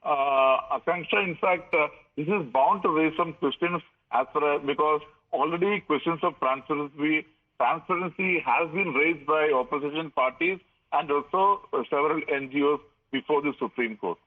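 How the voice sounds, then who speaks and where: Tinny phone-line Indian male news reporter. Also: fairly high pitch. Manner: halting, hesitant, with many fillers and repeats. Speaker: legal editor reporting on air.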